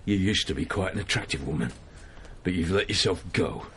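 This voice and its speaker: An actor playing a gravely ill, cantankerous old father-in-law in a radio drama, halting, blunt and labored in breath. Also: low-pitched, animated, fairly bright tone, a little hiss or hum. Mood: accusing, spiteful. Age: older.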